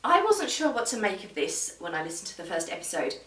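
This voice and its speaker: A woman giving an informal, measured review straight to viewers, explaining things as she goes. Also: mid-range voice, sing-song, fairly bright tone. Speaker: video reviewer. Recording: roomy echo, distant microphone.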